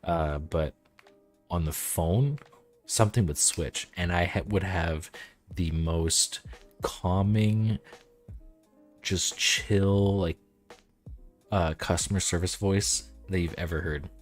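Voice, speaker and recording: A man in his twenties with a deep, calm voice, chatting casually on a stream and rambling with lots of "like". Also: slow, long pauses, soft background music. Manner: laid-back, rambling, heavy use of "like". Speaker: game developer streaming.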